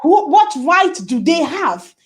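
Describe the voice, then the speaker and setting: A woman's voice: very high voice, very animated, takes it slowly. A woman giving impassioned political commentary on a remote call, rallying listeners with emphatic repeated phrases. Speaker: guest commentator.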